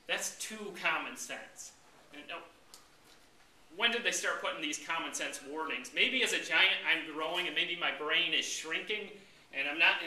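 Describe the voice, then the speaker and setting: High, bright-voiced American man telling tall tales. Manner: theatrical mock-indignant storytelling, acts out his lines. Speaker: club speaker. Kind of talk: humorous tall-tale speech to a live audience. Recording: roomy, distant mic with background noise.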